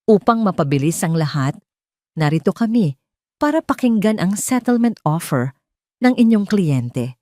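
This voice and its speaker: A woman's voice: mid-range voice, sing-song, normal speed, mellow, no echo, clean studio sound, close to an everyday voice. A woman voicing a smug, condescending male lawyer. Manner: confident, formal, condescending. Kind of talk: Tagalog audio drama storytelling.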